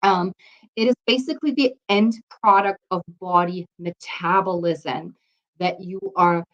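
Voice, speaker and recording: A woman's voice: on the low side, a little slow, fairly bright tone, muffled recording. A woman from Germany gives an informal health lesson, explaining and often checking in with her listeners. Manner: explanatory, checks in with "okay?" and "right?". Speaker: health course instructor. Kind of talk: informal lecture to an audience.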